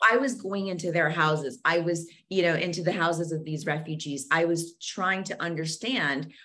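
A woman's voice: lowish voice, steady tone, normal speed, fairly bright tone. A woman from Zambia, a podcast guest talking with passion and many restarts, verbose and earnest. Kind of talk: conversational interview.

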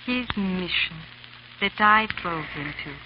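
Casting: devoted, anxious noblewoman, refined and dramatic, muffled vintage radio with clear crackle. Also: on the low side, lively intonation, takes it slowly. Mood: anxious, resolute. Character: anxious, brave, devoted English noblewoman wife. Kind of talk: 1930s radio drama acting.

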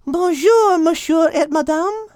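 A dainty, curious storybook animal, played by a woman, speaking in a high voice at an unhurried pace. She is courteous and a little hesitant. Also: a little mellow, no echo, clean studio sound. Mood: politely curious. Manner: polite, hesitant. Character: polite, curious little French female animal traveller.

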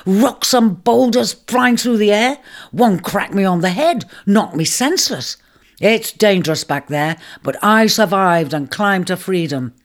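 A storyteller voicing a proud, self-important male ram who brags loudly and declares things with great grandeur. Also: medium pitch, big pitch swings, slow, pitched above the performer's own voice. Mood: boastful. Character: proud boastful male ram, restless wanderer. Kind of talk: storybook character voice.

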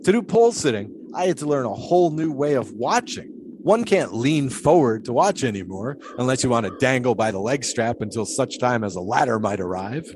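A man's voice: mid-range voice, lively intonation, fairly quick, lightly acted. Man playing folksy philosophizing flagpole sitter, noisy ambience. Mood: earnest, instructive. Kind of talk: audio drama dialogue in 1920s period idiom.

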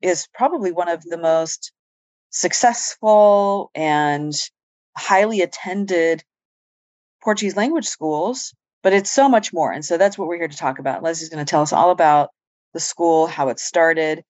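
A woman's voice: fairly low pitch, a little slow, a little mellow, no room sound. A woman hosting an interview show, welcoming and upbeat, affirming her guest as she talks. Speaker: host.

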